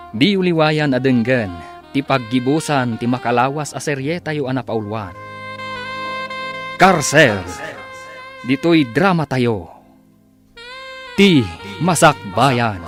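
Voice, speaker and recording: A man with an Ilocano accent reading scripted narration and credits as a radio drama announcer. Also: medium pitch.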